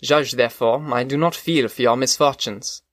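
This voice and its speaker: A man voicing a gentle, blind old exile who speaks in earnest, old-fashioned phrases. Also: medium pitch, normal speed, no echo, natural voice. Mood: kindly. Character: blind, poor old exiled father, kindly.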